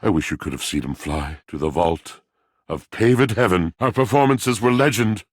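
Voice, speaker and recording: An extremely deep, husky video game voice for a brooding, lovelorn washing machine. He declaims in flowery, poetic phrases like a tortured soul, theatrical and melodramatic. The recording is close and dry. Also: animated, normal speed, dry recording. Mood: wistful, proud. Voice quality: very deep, gravelly.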